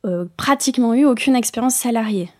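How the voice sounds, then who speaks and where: A woman's voice: on the high side, brisk, a little mellow, no echo, clean studio sound. A middle-aged woman from France talking reflectively as an interview guest, with many hesitations. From France, born in Bayonne. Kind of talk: casual interview talk.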